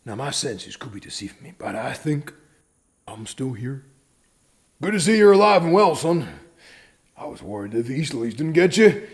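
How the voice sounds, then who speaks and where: An actor playing a stern but fatherly, world-weary sheriff in a western audio drama, with an American Southern drawl and a deliberate, folksy manner. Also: big pitch swings, creaky. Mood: commanding, dry. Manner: deliberate, folksy, swinging from hushed to booming.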